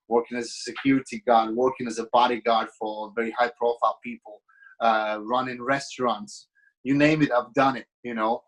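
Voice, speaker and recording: A man of about thirty with a Latvian accent carrying a slight British tinge. He talks like a podcast guest, friendly and rambling, and often restarts his sentences. His voice sits at an ordinary middle pitch. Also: fairly bright tone, poor audio. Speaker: podcast guest, business owner. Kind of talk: casual interview chat.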